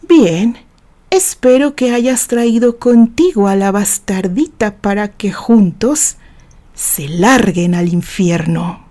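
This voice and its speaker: A coldly furious, vengeful man in a romance audio drama, as a woman narrator plays him. The voice is dark and mellow with a Mexican accent, sarcastic and menacing. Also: mid-range voice, lively intonation, takes it slowly. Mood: cold, venomous.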